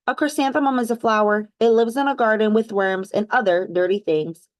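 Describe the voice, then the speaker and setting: A woman's voice: a little high, brisk, lightly acted. A woman voicing a snooty, teasing little schoolgirl mouse in a storybook, with a haughty, pointed delivery.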